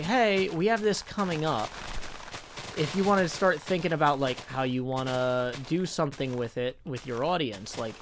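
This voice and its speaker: A man's voice: fairly high pitch, background noise. A man live-streaming a game, chatting in a rambling, casual way and answering his viewers as he plays. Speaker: livestreamer. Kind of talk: casual live-stream chat while playing.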